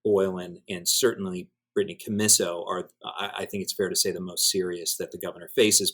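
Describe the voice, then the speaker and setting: A man giving conversational news analysis as an editor, in a measured, wordy way with deliberate emphasis on key words. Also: low voice, a bit bright. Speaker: newspaper editor-in-chief, commentator.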